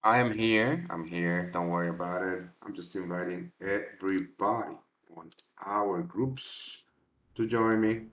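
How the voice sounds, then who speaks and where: A man hosting a trading livestream in a relaxed, halting way, with many fillers as he answers the chat. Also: deep voice. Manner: reads and answers chat directly. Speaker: trading show host. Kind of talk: casual livestream market talk. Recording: dull lo-fi livestream audio.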